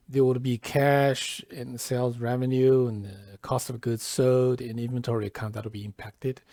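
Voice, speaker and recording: A man presenting a software tutorial step by step, halting and deliberate, often pausing mid-phrase. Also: medium pitch, dry recording, studio quality. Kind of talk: step-by-step tutorial narration, lightly scripted.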